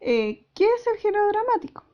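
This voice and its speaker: High-voiced woman teacher explaining a lesson. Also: very animated, moderate pace. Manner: didactic, careful explaining with rhetorical questions. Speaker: school teacher. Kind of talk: recorded class lesson, explanatory. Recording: dull lo-fi audio.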